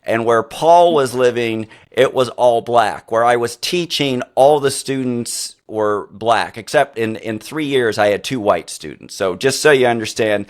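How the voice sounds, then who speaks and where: A middle-aged man hosting a podcast interview, a former teacher who tells rambling, animated stories full of restarts. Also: mid-range voice, unhurried, hardly any pauses. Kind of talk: conversational interview.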